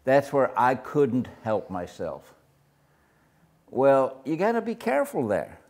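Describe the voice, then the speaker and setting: An older man with a naturally loud voice, leading a Bible study group discussion in a casual, talkative way. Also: medium pitch, slow. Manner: loud, gets louder when excited.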